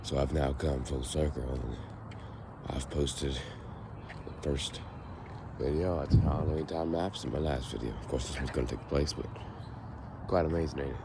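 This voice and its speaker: A young man with a raspy, hoarse voice, rambling to the camera as he walks outdoors in a casual vlog. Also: very deep voice, fairly even intonation, moderate pace. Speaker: vlogger. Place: outdoors, walking on a foggy street. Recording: noisy outdoor recording with passing traffic.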